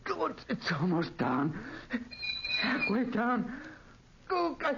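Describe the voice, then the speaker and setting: An actor playing a terrified, trapped young man in 1940s radio drama style, halting, breathless and melodramatic. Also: very high voice, wildly swooping pitch, gravelly, heavily acted. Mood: mounting panic. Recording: noisy, muffled 1940s radio recording.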